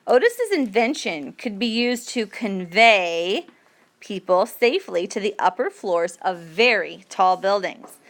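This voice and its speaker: Woman, teacher read-aloud, very unhurried pace, mid-range pitch, deliberate measured phrasing. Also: expressive. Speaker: teacher reading a lesson passage aloud. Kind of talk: read-aloud educational narration.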